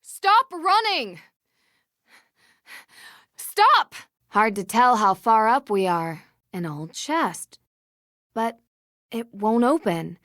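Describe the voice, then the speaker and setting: A woman voicing a plucky, curious young detective in a video game, speaking in a bright, inquisitive, articulate way. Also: fairly high pitch, sing-song, very slow, pauses often, no echo, clean studio sound, full character voice. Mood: alarmed.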